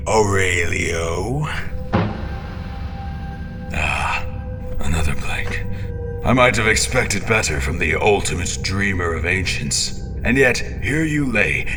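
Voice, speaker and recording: A man playing an obsessed, tormented character in a fantasy audio drama, speaking in a theatrical, halting, brooding way with dramatic emphasis. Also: fairly low pitch, sharp tone, exaggerated voice. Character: obsessed, tormented man addressing a cryptic book.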